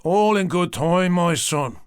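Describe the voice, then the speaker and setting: A man's voice: high voice, animated, takes it slowly, clear, resonant tone, no room sound, clean studio sound, voice pushed up. A man voicing a manic, zealous priest who preaches fervently and slips into rapture. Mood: sermonizing. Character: manic, zealous middle-aged male priest.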